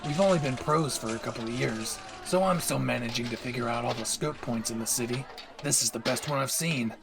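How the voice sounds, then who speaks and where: A man acts out a brash young male anime hero with a gravelly, rough edge to his voice. He barks, swears and teases cockily, with a doting streak underneath. There is clear ambient noise behind him. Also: medium pitch, a little fast, close to an everyday voice. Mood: gruffly fond. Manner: abrasive, swearing, barking, teasing. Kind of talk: anime character audio roleplay.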